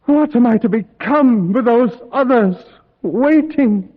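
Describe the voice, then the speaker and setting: A man's voice: very high-pitched, lively intonation, slow, husky, full character voice. Despairing trapped young man, solemn vintage radio acting, narrow phone-like sound, long echoing reverb. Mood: anguished. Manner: solemn, dramatic. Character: trapped despairing young man reading his last journal entry.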